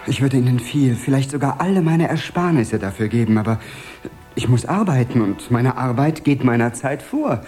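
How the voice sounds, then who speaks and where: An actor in a 1970s radio drama playing a timid, anxious office clerk, hesitant and apologetic. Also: male voice, medium pitch, expressive, moderate pace, darkish tone, faint music behind, natural voice. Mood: regretful. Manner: hesitant, polite, stammering apologies. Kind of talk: 1970s German-language radio drama acting.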